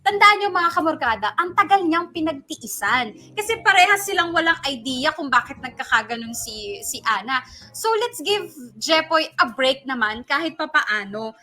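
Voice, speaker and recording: A Filipino woman co-hosting a casual advice talk, speaking in an expressive, conversational way and switching between two languages. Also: high voice, moderate pace. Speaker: radio show co-host. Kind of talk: casual advice talk, giving commentary to listeners.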